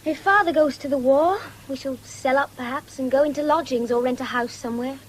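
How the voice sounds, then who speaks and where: A woman's voice: high-pitched, fairly quick, close to an everyday voice, low-quality recording. A woman playing a frank, wistful young farmer's daughter in a radio drama. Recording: 1970s radio broadcast with background noise.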